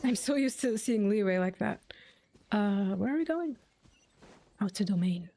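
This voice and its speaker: A woman's voice: medium pitch, animated, unhurried, dark tone. A young adult woman giving casual gameplay commentary as a streamer, chatty and thinking aloud. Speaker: game streamer. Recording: faint game music behind the voice.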